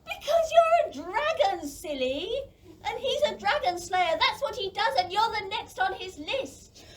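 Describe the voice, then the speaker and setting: Woman as a very high-voiced, insistent young boy. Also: sing-song, measured pace, exaggerated voice. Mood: exasperated. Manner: urgent, exasperated, plain-spoken. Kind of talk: children's audio play acting. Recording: distant microphone, background noise.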